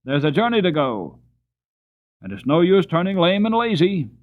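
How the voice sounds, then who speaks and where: A man voicing a careless, loud-mouthed hired driver who blusters and drags out his exclamations. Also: sits high, sing-song, moderate pace, pitched above the performer's own voice. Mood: indignant. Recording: tinny phone-like audio.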